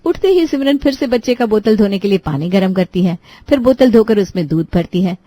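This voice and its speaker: A woman's voice: mid-range voice, animated, brisk, mellow, no room sound. Dry, close-recorded woman storyteller. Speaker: narrator. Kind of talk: scripted narration of a children's moral story.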